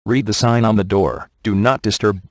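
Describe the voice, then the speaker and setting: An actor playing a smug, wisecracking ghost hunter in a comic video game, delivering his lines in a sarcastic deadpan. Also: lowish voice, moderate pace, dry recording, studio quality, close to an everyday voice. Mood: dry, smug.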